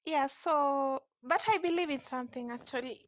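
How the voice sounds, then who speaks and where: A woman's voice: sits high, normal speed, poor audio. Hesitant woman, Swahili first language. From Swahili-speaking East Africa. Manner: hesitant, searching for words. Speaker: caller. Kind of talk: casual debate call.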